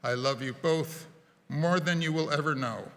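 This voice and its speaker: A man's voice: a little high, normal speed, fairly bright tone. A man reading a heartfelt written tribute to his family at a memorial service. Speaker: family member giving a tribute. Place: church sanctuary. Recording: long reverb of a church hall.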